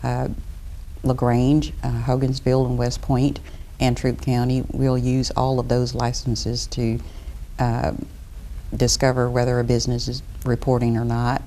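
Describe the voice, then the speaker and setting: Middle-aged woman from Florida, guest on a local cable interview show, mellow, methodical. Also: extremely low pitch, completely flat delivery, a little slow, slight background noise. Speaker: property appraiser guest. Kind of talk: studio interview.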